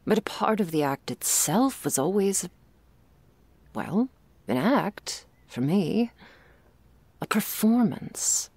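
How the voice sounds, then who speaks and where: A woman playing a confident, teasing but vulnerable girlfriend in an intimate ASMR romance roleplay, confiding tenderly and haltingly, often dropping into breathy whispers. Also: deep voice, darkish tone, no room sound, full character voice. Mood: tender, confessional.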